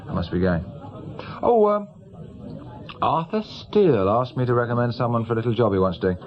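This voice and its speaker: An actor playing a brisk, self-assured senior British police officer in middle age, matter-of-fact and rather patronising. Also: male voice, medium pitch, expressive, lo-fi sound, lightly acted. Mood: affable. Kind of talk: naturalistic radio drama acting.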